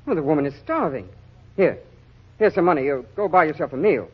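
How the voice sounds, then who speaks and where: Shaken kindhearted reporter, husky, halting, swingy pitch, muffled 40s radio drama. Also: male voice, expressive. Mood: appalled concern. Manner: earnest, disbelieving, halting. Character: shocked, compassionate visiting American reporter. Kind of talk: 1940s radio acting. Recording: dull old radio recording.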